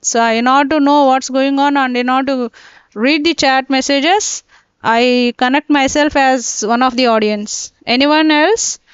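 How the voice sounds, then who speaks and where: A woman teaching a live online class, working through each step and often pausing to check that students are following. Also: high-pitched, clean tone, dry recording. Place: indoors, teaching from a computer. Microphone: laptop mic. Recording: dull lo-fi online class audio.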